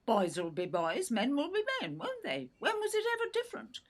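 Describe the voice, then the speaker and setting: A woman's voice: fairly high pitch, wildly swooping pitch, moderate pace. An actress playing an older English mother in an audio play, indignant and brusque, condescending as she defends her son. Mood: brusque, dismissive. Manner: clipped, brusque, condescending, calls listener "dear".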